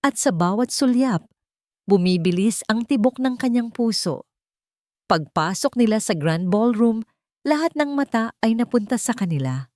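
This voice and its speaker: A woman's voice: a little high, expressive, moderate pace, a little mellow, dry recording, clean studio sound. A woman with a Filipino accent reading a scripted romantic story as narrator.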